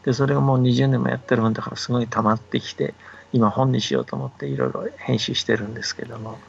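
Older guy, really gravelly husky voice, chatting and explaining like a folksy researcher, slightly dull audio. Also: medium pitch, quick pace. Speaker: folklore researcher and storyteller. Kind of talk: relaxed explanatory radio talk.

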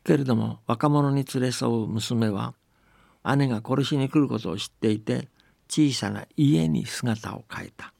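A man's voice: mid-range voice, measured pace, vocal fry, darkish tone, no echo, clean studio sound. A man telling and explaining folktales conversationally, often checking that the listener agrees. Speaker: storyteller and folktale commentator.

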